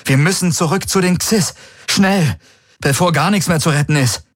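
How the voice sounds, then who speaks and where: Man as a bold female starship pilot, much higher pitch, urgent, crisp orders, sci-fi audiobook, dry sound. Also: big pitch swings, unhurried, dry recording, full character voice. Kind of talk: science fiction audiobook character acting.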